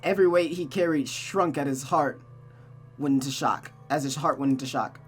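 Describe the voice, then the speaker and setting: A woman's voice: low-pitched, moderate pace, slightly rough, faint music behind. A woman reading a visual novel aloud as host, in a dramatic storytelling style.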